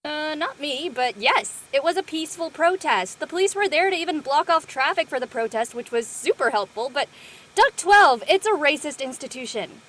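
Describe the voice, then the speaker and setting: Outspoken teen girl played by a woman, very high bright voice, slangy drama dialogue. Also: normal speed, slight background noise. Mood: brash, excited. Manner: slangy, expressive. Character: brash, outspoken teenage girl. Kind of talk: casual scripted drama dialogue.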